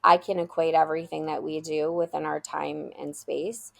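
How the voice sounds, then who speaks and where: A woman's voice: deep voice, little pitch movement, normal speed, vocal fry. A woman, a school founder, answering interview questions in an earnest, emphatic way, often restarting her words. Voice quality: loud voice. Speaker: school founder, podcast guest.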